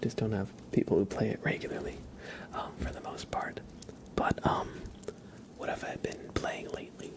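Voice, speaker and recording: A man hosts a casual ASMR livestream in a breathy whisper. His voice has a gravelly fry underneath. He rambles hesitantly in relaxed gamer slang, as if chatting with viewers. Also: lowish voice, moderate pace.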